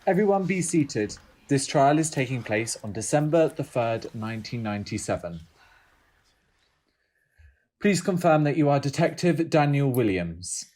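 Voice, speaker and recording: Actor as stern male judge, mid-pitched, formal and measured courtroom delivery for audio play. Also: sing-song, darkish tone. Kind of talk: audio drama acting, formal reading.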